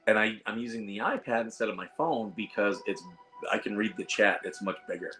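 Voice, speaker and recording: Hoarse man chatting on a livestream. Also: fairly low pitch, fairly quick, bright, forceful tone, faint music behind. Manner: rambling, reads chat aloud, frequent fillers. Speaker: host of a veterans livestream. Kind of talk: casual livestream chat. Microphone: iPad.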